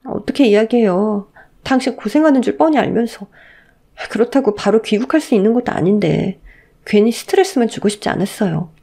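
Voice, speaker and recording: A woman's voice: fairly high pitch, lively intonation, brisk, warm tone. A woman playing a resolute young wife in a melodramatic audio story, speaking firmly and emotionally. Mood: pained, firm. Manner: firm, emotional, pleading edge. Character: indignant, resolute young married daughter-in-law.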